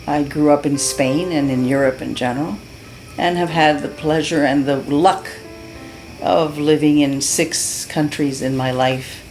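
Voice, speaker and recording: A woman's voice: very deep voice, darkish tone, poor audio. A middle-aged woman from Cuba giving an earnest, heartfelt testimonial as a patient, with many fillers and restarts. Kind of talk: unscripted testimonial.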